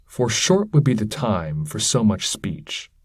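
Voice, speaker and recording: A man's voice: fairly low pitch, very animated, measured pace, darkish tone, slightly rough, dry recording, studio quality, exaggerated voice. A man voicing a damned scholar's spirit, a fatherly, bitter old mentor who speaks in grave, archaic oratory within a poetry reading. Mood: grave, disdainful.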